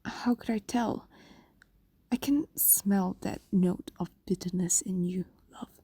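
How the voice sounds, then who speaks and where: Woman voicing a slow, whispering fox demon lover. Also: medium pitch, dry recording. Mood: possessive, concerned. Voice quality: soft, breathy, largely whispered. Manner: slow, soothing, hesitant pauses, coaxing. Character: possessive, tender female fox demon, ancient lover. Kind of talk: intimate fantasy audio roleplay, spoken to listener. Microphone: close microphone, dry.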